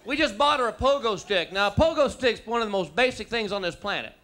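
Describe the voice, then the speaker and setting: A man's voice: high-pitched, animated, fast talker, fairly bright tone. A man in his thirties from Texas with a Texan accent, performing a stand-up comedy routine on a theater stage, drawling through stories and slipping into silly voices. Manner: drawling storyteller, slips into silly character voices. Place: theater stage before a live audience. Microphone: handheld microphone. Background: audience laughter.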